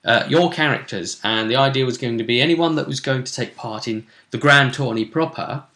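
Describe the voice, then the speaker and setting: Bright-voiced man hosting a chatty discussion. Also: mid-range voice, brisk. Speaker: host and game master. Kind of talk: casual sports-pundit style discussion of a role-play game.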